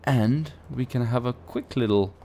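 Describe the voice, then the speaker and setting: A man's voice: mid-range voice, a little slow, warm tone, a little hiss or hum. A man who is not a native English speaker gives casual gameplay commentary as a let's play host, thinking aloud with playful asides.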